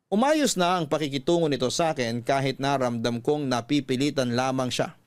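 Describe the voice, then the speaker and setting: A man narrating a resigned wife's first-person story for a radio drama, measured and earnest. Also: mid-range voice, no echo, lightly acted. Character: weary, resigned wife telling her own story. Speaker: host and narrator.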